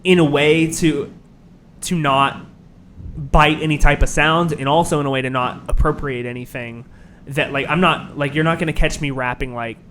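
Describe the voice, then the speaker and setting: A young man with a high register voice, a musician talking through his influences in a casual interview, rambling with lots of fillers and restarts. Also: fairly even intonation, normal speed, fairly bright tone, a little hiss or hum. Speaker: guest musician.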